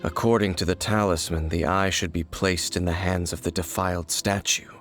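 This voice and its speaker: A man voicing a guarded, mysterious wandering swordsman in a video game, speaking in a measured, solemn and terse way. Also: low-pitched, steady tone, a bit bright, faint music behind.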